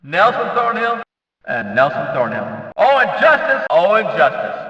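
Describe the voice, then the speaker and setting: A man playing a hyped-up race announcer in a video game, calling out drivers' names in a showy, booming style. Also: wildly swooping pitch, reverberant, in a large hall, far from the mic, muffled recording. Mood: punchy, upbeat. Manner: showy, booming, drawn-out name calls.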